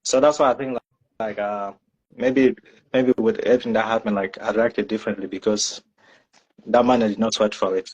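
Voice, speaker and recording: A man's voice: on the low side, steady tone, a little fast, husky, poor audio. A man from South Sudan answering interview questions casually, hedging with many "like" and "you know" fillers. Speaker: guest.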